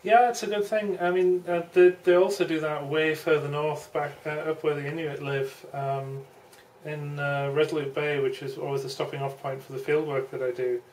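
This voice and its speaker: A woman's voice: low voice, fairly even intonation, normal speed, a little mellow, low-quality recording. A woman chatting casually as an amateur radio operator during a two-way contact. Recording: faint background radio hiss.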